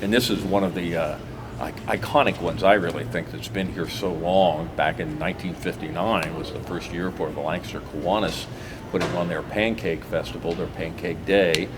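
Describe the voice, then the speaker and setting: Deep-voiced man hosting local TV. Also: normal speed, noisy background. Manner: relaxed, rambling, many "uh" restarts. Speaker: host of a local TV talk show. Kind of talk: casual interview chat.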